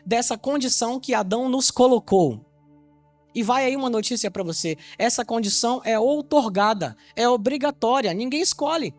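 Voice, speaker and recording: A man's voice: extremely high pitch, expressive, moderate pace, no room sound, soft background music. A man from Salvador, Bahia, preaching an evangelistic sermon to his congregation. He teaches with rhetorical questions and keeps checking whether they understand. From Salvador, Bahia, Brazil. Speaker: pastor.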